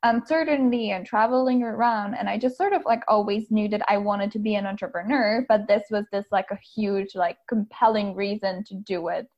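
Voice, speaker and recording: A woman from the Czech Republic in her thirties, a coach chatting as a podcast guest, bubbly and quick to laugh. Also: medium pitch, fairly even intonation, a little mellow. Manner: bubbly, laughs often, uses like a lot. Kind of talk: casual interview chat. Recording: muffled lo-fi audio.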